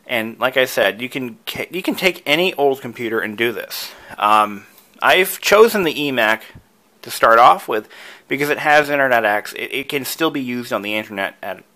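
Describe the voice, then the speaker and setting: A man's voice: mid-range voice, lively intonation, moderate pace, pushed and bright. A man presenting a hobby tutorial, casually talking through each step with enthusiasm.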